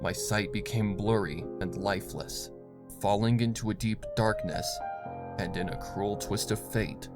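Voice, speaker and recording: A man reading dramatic scripted horror fiction as narrator, in a solemn, deliberate manner. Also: lowish voice. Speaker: story narrator. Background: music.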